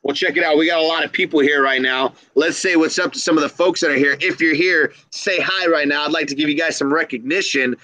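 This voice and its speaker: A man's voice: fairly high pitch, fast, few pauses, sharp tone, no echo. A man hosting a reptile keepers' live stream in a casual, slangy, upbeat style, hyping up the community. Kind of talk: casual live-stream chat with shout-outs.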